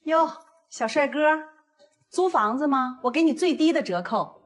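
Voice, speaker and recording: An actress playing a brash, bullying landlady with a grown son, pushy in her manner, in an animated drama. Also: high voice, normal speed. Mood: wheedling. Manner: pushy, scolding or wheedling. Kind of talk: Chinese animated audio drama acting.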